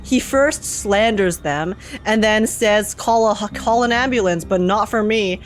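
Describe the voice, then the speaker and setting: A woman gaming streamer giving casual, slangy, excitable reaction commentary, often repeating phrases for emphasis. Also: mid-range voice, a little slow, slight background noise, low-quality recording.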